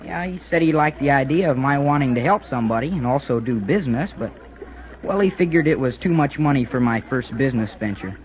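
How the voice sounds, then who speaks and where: An actor playing an earnest, determined schoolboy cadet in 1940s radio style, with boyish, folksy slang. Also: male voice, mid-range voice, animated, fairly quick, lightly acted. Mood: glum, downcast. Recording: noisy, dull old radio recording.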